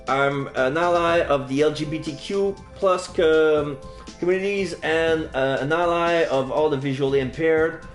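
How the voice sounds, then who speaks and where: A man's voice: a little high, unhurried, reverberant, soft background music. A man in his forties from French Canada with a French Canadian accent, chatting casually on a live stream, rambling with lots of fillers and restarts. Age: 40s.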